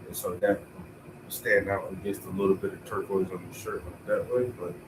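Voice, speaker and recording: A man thinking aloud in a relaxed, rambling way as he paints, sounding stuffy and tired with a cold. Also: deep voice, normal speed, recorded from a distance, background noise. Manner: laid-back, rambling, thinking aloud, swears casually. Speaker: painter streaming while painting. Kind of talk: casual talk to himself while painting.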